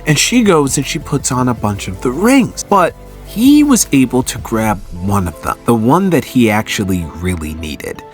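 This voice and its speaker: A man giving a casual, enthusiastic story recap as a host, with an animated, excitable way of telling it. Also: medium pitch, moderate pace, few pauses. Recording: music under the voice. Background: background music.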